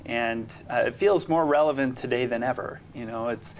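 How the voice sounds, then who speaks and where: A middle-aged man answering casually as an interview guest, thoughtful and halting, often repeating words as he goes. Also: mid-range voice, slight background noise. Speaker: interview guest, amateur actor. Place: TV studio.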